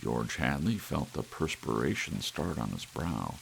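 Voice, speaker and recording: A man narrating a scripted suspense story as an ASMR audiobook, with soft rain behind him. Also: extremely low pitch, very animated, measured pace, creaky. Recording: faint hiss and soft rain.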